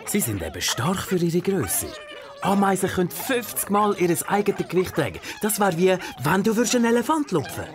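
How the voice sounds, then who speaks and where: A man's voice: sits high, very animated, a little fast, crowd noise. A man playing a proud, goofy zookeeper dad in a children's cartoon, with a Swiss German accent and a theatrical, exclaiming manner. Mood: enthusiastic. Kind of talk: animated children's bedtime story acting.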